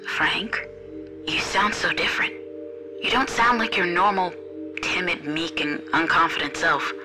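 A woman performs a spellbound female follower in a dramatized story. She is breathy, devoted and pleading, as if worshipping the person she speaks to. Music plays clearly behind her mid-pitched voice. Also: unhurried, lightly acted. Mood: entranced, adoring. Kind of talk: dramatized audio story acting.

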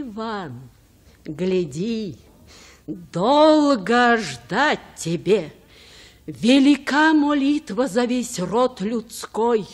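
An actress playing a gentle old grandmother, telling folk tales in a measured, sing-song chant. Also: mid-range voice, full character voice. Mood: gentle, knowing. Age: older. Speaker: storyteller. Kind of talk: folk verse tale, sing-song storytelling.

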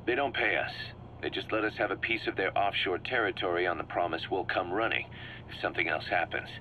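A man's voice: low-pitched, brisk, close to an everyday voice. A man playing a driven, shrewd mercenary commander in a video game, briefing his boss in an emphatic, assured way. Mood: assured, persuasive. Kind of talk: scripted video game dialogue, explaining plans. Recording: muffled cassette-like sound with background hum.

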